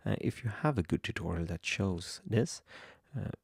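A young man from Sweden with a Swedish accent, hesitantly walking through a software tutorial at his computer, with many ums and restarts. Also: low-pitched, lively intonation, moderate pace, gravelly, slightly rough, no echo, studio quality. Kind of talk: screen-recorded software tutorial. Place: home room at a computer.

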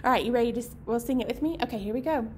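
A woman's voice: on the high side, rapid-fire, slight background noise. A woman in her thirties hosting a children's storytime, talking and reading aloud in simple, encouraging phrases to young listeners. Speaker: children's librarian, storytime host. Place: indoor library program room.

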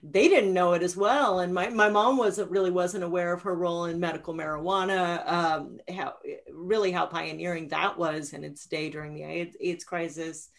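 Low-pitched woman author in conversation. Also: steady tone, moderate pace, vocal fry, few pauses. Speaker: author guest. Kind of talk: conversational book-event Q&A. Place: small room at home, on a video call. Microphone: video call computer mic.

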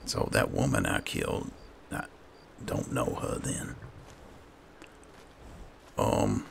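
Real deep gravelly guy muttering through a game playthrough, slow talker, game noise behind. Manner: musing aloud to himself. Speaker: gaming host doing roleplay playthrough. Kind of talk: casual game commentary, roleplaying aloud.

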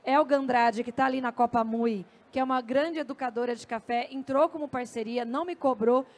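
High-voiced Brazilian woman speaking on stage. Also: steady tone, a little fast. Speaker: journalist and speaker. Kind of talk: conversational stage talk with anecdotes. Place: stage at an indoor festival. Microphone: handheld microphone.

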